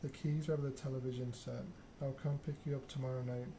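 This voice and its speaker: A man's voice: medium pitch, little pitch movement, fast talker, lightly acted. A man voicing a composed, courteous female vampire sire in a story game, over noisy ambience. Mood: composed, hospitable. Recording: clearly noisy background ambience.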